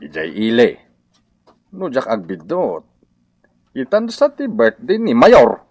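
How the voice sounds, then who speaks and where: A man's voice: medium pitch, huge pitch swings, a little mellow, dry recording, studio quality, heavily acted. An actor playing a hungry, jokey village man who grumbles and exclaims in a comedic radio drama. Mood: eager, amused. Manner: exclaiming, drawn-out complaints.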